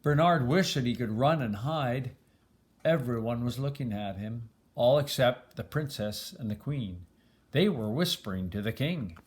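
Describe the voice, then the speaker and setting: A man's voice: medium pitch, animated, unhurried. An older man reading a children's storybook aloud as a grandfather would, with expression.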